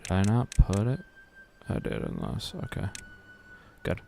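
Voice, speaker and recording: A man's voice: on the low side, very animated, measured pace, creaky, dark tone, slight background noise. An American man streaming a building game, muttering his moves to himself, humming and joking along. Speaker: gaming streamer. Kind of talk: live game commentary.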